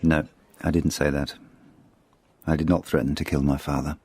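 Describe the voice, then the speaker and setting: An actor playing a bitter, menacing man in a radio drama monologue, muttering in a husky voice. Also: level tone, moderate pace, a little mellow, no room sound. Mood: brooding. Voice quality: very husky, low. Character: bitter English man ranting at his father.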